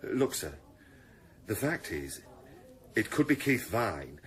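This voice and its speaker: An actor playing an earnest, conscientious police detective in a radio drama, plain-spoken, restrained and dogged. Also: male voice, fairly low pitch, very animated, slow. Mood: earnest concern. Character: earnest, conscientious male police detective, dutiful but uneasy.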